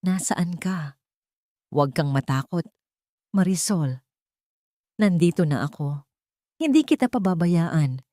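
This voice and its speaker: Woman voicing a calm, protective male lawyer. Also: on the low side, slow, long pauses, no echo, studio quality. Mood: gentle, reassuring. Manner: calm, firm, reassuring. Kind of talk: storybook dialogue.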